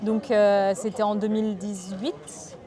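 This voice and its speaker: Chatty thirty-year-old host from Bordeaux. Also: female voice, medium pitch, flat delivery, measured pace, crowd noise. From Bordeaux, France. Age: 30s. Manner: friendly, chatty, with frequent fillers. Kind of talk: casual conversational interview.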